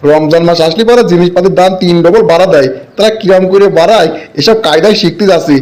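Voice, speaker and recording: A cheeky older cartoon devil, acted by a man. He chatters quickly in a high pitch. His talk is colloquial, indignant and full of exclamation. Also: a little mellow, close to an everyday voice. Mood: eager, scheming. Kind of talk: comic cartoon dialogue.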